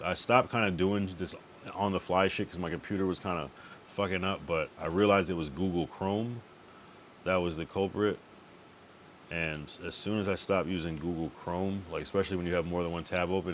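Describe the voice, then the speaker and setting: Deep-voiced laid-back streamer man. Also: a little hiss or hum. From Connecticut and Massachusetts, US East Coast. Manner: laid-back, profane, repeats phrases. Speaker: live streamer. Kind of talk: loose late-night stream chat.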